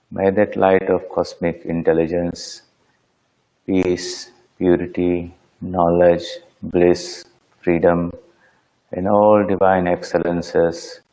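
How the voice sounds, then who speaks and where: A man teaches guided meditation in a low voice at a very unhurried pace. He gives each instruction phrase by phrase, calm and solemn. His tone stays level and steady throughout. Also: rough recording. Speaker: meditation teacher leading a session.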